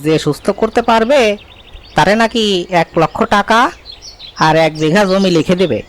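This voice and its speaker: A man's voice: high-pitched, moderate pace, close to an everyday voice. A man playing a chatty, folksy village tea-stall keeper in a cartoon, passing on local gossip. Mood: gossipy, sympathetic.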